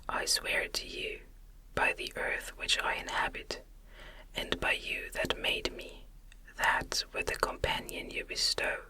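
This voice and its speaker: A woman voicing a lonely, eloquent male monster in a gothic storybook reading, imploring earnestly and formally in a breathy whisper. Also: lightly acted, rough recording.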